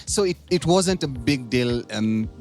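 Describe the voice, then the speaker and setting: A man's voice: medium pitch, expressive, unhurried, darkish tone. A young man, a stand-up comedian guest, telling stories in a laid-back, slangy way full of "yo" and "man". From Kenya. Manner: laid-back slangy storytelling with lots of "yo" and "man", many restarts. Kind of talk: casual storytelling chat.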